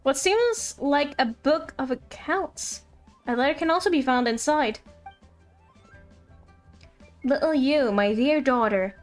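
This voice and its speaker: A woman's voice: high-pitched, lively intonation, slow, long pauses. A woman streaming a video game, chatting casually with playful asides and reading game text aloud over music.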